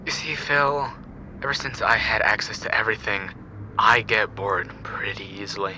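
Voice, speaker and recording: A man's voice: on the low side, fairly even intonation, normal speed, bright, forceful tone, slight background noise, low-quality recording. A man playing a sadistic, coldly amused killer in an audio drama, taunting and menacing in a matter-of-fact way.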